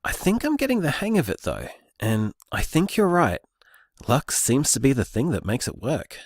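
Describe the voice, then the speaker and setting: A man's voice: mid-range voice, moderate pace, husky, no echo, clean studio sound, full character voice. An actor playing an earnest young man discovering luck magic, talking in a thoughtful, musing way. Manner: thoughtful, musing, lively delivery. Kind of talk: audio drama, voice-message monologue.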